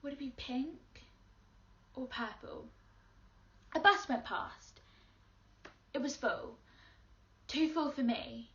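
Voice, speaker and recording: An actress playing a lonely schoolgirl caught in the rain, speaking quietly and reflectively in a dramatic monologue. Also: high voice, takes it slowly, long pauses, dull audio, exaggerated voice. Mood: wistful. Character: soaked lonely schoolgirl in purple coat.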